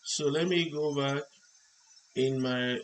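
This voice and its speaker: A man presenting an unscripted hands-on electronics demonstration, explaining step by step in halting short phrases. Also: medium pitch, fairly even intonation. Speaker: tutorial presenter. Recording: clear background noise.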